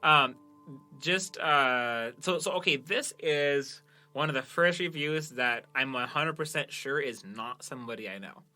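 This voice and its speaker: A man's voice: mid-range voice, unhurried, pushed and bright, soft background music. A man hosting a podcast, casually reading out listener reviews and bantering in a jokey, self-deprecating way. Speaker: podcast host and producer.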